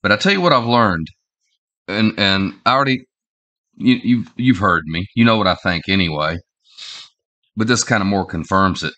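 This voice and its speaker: Nasal, bright-toned man with a cold. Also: fairly low pitch. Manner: rambling, halting, many fillers and repeated starts. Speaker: online commentator. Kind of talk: casual opinion vlog. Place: small indoor room at home.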